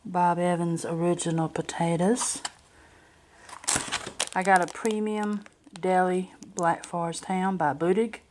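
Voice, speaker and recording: Chatty female home vlogger, lowish voice, plainspoken casual narration, faint crinkly noise behind. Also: fairly even intonation, takes it slowly, pauses often. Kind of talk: casual grocery haul narration. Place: indoors at a kitchen or dining table. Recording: noisy home recording, crinkling packages. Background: crinkling packaging, clicks, background noise.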